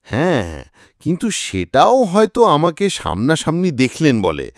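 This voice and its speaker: A man's voice: a little high, huge pitch swings, measured pace, no room sound, studio quality, voice pushed up, heavily acted. A man voicing a shrewd, kindly, thoughtful private detective who reasons aloud in an audio story. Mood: pensive, concerned.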